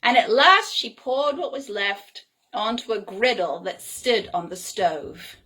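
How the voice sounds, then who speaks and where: A woman reading a children's story aloud in an expressive, whimsical way, with chatty, playful asides. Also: medium pitch, slow pace, breathy edge. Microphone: phone.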